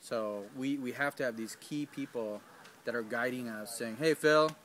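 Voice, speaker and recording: A man's voice: mid-range voice, normal speed, fairly bright tone, a little hiss or hum. Hesitant man talking outdoors. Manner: hesitant, many restarts and ums. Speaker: field staff. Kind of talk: casual interview answers. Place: garden outdoors.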